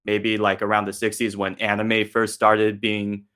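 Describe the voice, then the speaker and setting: A man's voice: fairly low pitch, completely flat delivery, measured pace, pushed and bright. An American man interviewing in a casual, informal way, peppering his talk with filler words. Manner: informal, uses 'like' and 'kinda' often. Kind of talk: casual discussion.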